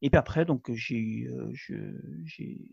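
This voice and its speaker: A man from Belgium in his thirties talks as an imam guest, pausing often with fillers and restarting his sentences. His voice is gravelly and mellow, recorded dry with a dull, lo-fi sound. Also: medium pitch, dry recording. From Belgium, Moroccan family. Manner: hesitant, many fillers and restarts. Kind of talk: conversational interview.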